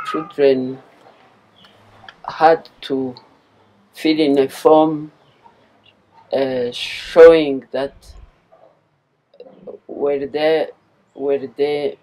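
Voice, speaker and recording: Slow, low-voiced older Rwandan woman. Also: warm tone, poor audio. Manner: halting, deliberate, earnest. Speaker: interviewee, former liberation movement member. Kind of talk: personal testimony in an interview.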